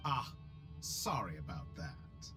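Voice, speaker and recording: An actor playing a smug, coolly polite scheming villain with godlike power in a video game, speaking in a measured, condescending, theatrical way. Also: male voice, deep voice, big pitch swings, music in the background, heavily acted. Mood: smug, amused. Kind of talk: dramatic video game voice acting.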